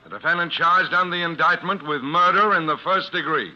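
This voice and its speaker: High-pitched formal court clerk played by a man. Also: fairly quick, lightly acted. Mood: formal, declaiming. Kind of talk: 1940s radio acting. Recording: muffled old radio recording.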